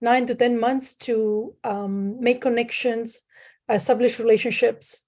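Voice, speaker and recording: A woman from Sicily, Italy, speaks as a guest over a remote call. Her voice sits slightly high. She talks casually and stops often to hunt for words, filling the gaps with ums and uhs. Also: fairly even intonation, measured pace, darkish tone. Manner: hesitant with many fillers, plain speech. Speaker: guest, private lender. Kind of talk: casual interview.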